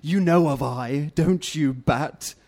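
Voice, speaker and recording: A man's voice: takes it slowly, darkish tone. Man voicing a scratchy, imperious giant duck queen. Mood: menacing. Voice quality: scratchy, coarse, rumbling. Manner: grandiose, commanding rhymed speech. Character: huge imperious female duck tyrant, boastful and menacing.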